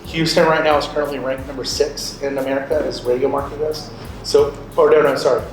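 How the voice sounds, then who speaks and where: A man's voice: medium pitch, flat delivery, fairly quick. Man, late thirties, conversational explainer, informal teaching talk, strong room echo, noisy background. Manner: conversational, explanatory, checks in with rhetorical questions. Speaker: radio programmer, guest speaker. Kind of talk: informal instructional talk to a group. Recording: echoey room, distant mic, loud background noise.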